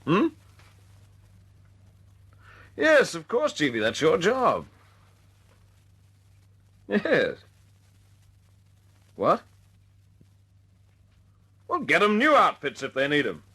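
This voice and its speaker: Genial British wartime squadron leader, radio drama, rough husky voice, expressive pitch, dry conversational delivery. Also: male voice, takes it slowly, pauses often, full character voice. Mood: brisk, wry. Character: young British bomber squadron leader, brisk, genial, wry.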